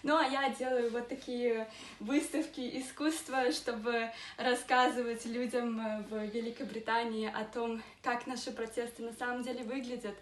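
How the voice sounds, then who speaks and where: A woman from Belarus calmly telling her story and describing the art exhibitions she organizes. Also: fairly high pitch, moderate pace, a little hiss or hum. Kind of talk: short personal testimony. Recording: echoey room, from far off.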